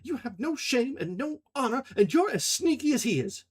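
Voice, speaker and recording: A reader voicing an upset young woman who scolds her friend between sobs, high and shrill. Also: big pitch swings, moderate pace, slightly rough, exaggerated voice. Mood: tearful scolding. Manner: shrill, reproachful, sobbing.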